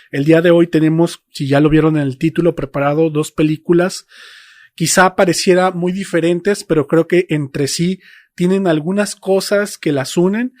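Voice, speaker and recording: A man hosting a casual film discussion, speaking haltingly as he searches for his words. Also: fairly high pitch, a little mellow, no room sound, clean studio sound. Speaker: film podcast host.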